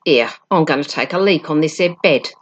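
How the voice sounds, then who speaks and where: A woman voicing a crude, bullying young male skinhead burglar from a storybook, with rough slangy speech. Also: low voice, expressive, fairly quick, muffled recording.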